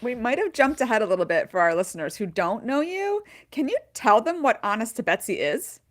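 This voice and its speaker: A woman's voice: sing-song, brisk. Curious, chatty woman co-host. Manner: curious, conversational, self-described low voice. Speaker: podcast co-host. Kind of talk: casual interview chat.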